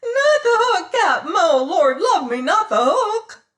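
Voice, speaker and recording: A reader voicing a fat, cruel male pirate boatswain with a high-pitched, squealing voice, wailing and pleading. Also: expressive, slow, a bit bright. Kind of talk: audiobook character voice.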